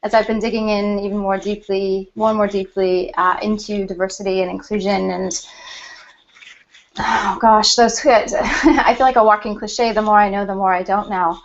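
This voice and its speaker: Excitable woman on a lo-fi video call. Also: medium pitch. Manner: excitable, laughing, quick bursts. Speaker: panel host. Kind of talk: casual panel chat on a video call. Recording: dull lo-fi video call audio.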